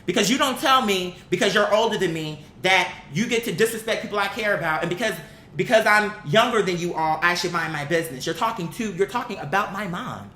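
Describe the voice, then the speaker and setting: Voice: animated, fairly quick, bright, forceful tone. A young adult commentator giving a blunt, exasperated opinion rant in casual, sweary language, repeating phrases for emphasis. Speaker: online commentator. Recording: echoey room with faint rumbling noise.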